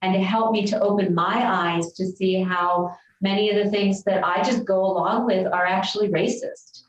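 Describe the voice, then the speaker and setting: A woman's voice: mid-range voice, fairly even intonation, moderate pace. A woman, a religious sister, sharing earnest and thoughtful reflections in a group talk. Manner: earnest, thoughtful, warmly agreeing. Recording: very echoey room, distant mic, faint noise.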